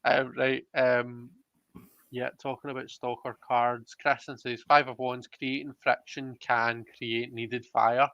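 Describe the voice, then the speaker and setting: A man's voice: medium pitch, flat delivery, takes it slowly, gravelly, pushed and bright, no echo, muffled recording. A man hosting a live tarot reading in a chatty, jokey way, reading out viewer comments as he goes. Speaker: host of a livestream tarot reading. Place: indoors, online livestream.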